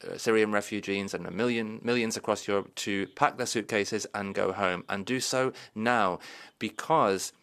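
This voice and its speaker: A man with a low, smooth and resonant voice interpreting a parliamentary speech into English. He pushes the lines out forcefully and stumbles into restarts and fillers along the way. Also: moderate pace.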